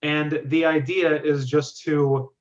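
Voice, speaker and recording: A man hosting a group discussion, giving feedback in a wordy, measured, organized way. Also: on the high side, little pitch movement, lo-fi sound. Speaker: host of a script reading club.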